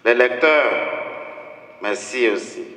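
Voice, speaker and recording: A man whose first language is Yoruba, a priest speaking slow, halting French as he gives thanks at Mass in a large church. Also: mid-range voice, pushed and bright. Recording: echoey church hall.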